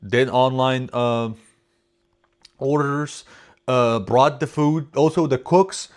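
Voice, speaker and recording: A man's voice: medium pitch, slow, smooth. A man from Europe whose first language is not English, reacting to a video with rambling, swear-filled casual commentary. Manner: rambling, swears freely, trails off.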